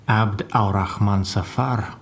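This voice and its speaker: Deadpan, cunning prison informant. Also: male voice, fairly low pitch, vocal fry. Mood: coolly confiding. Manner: deadpan, measured, matter-of-fact. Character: calm, vengeful male prison informant, quietly cunning. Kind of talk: audio drama acting.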